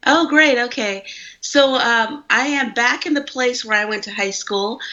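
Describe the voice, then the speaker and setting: A woman's voice: on the high side, a little slow. A woman from India with an American accent, an author talking casually and at length to students over a video call. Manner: chatty and animated, talks at length. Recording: muffled video call audio.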